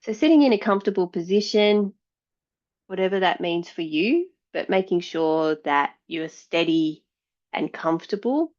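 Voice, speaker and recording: A woman yoga teacher giving guided meditation and yoga instruction in a calm, measured, soothing way. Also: lowish voice, highs cut off.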